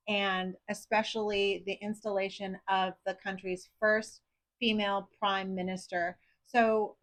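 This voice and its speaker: A woman hosts a podcast in a conversational way. Her voice sits at an average pitch for a woman, and she speaks as an interviewer in discussion with a guest. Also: fairly bright tone. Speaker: podcast host.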